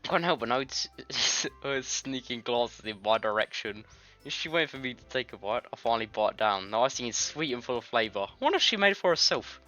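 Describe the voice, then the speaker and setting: A British man plays through a game, reading the text in a bright, very animated voice. He is jokey and trips over words as he goes, with music playing behind him. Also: mid-range voice, brisk, dull audio. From Britain. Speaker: gaming video host. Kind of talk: game playthrough, reading dialogue aloud with crude joking asides.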